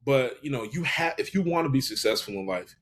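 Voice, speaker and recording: A man in his twenties speaks in a relaxed, reflective interview manner. His voice sits at an ordinary mid pitch, and he often pauses and restarts his sentences while he thinks. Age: 20s. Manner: hesitant, thoughtful, frequent restarts and fillers. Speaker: podcast guest. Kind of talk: casual reflective interview talk.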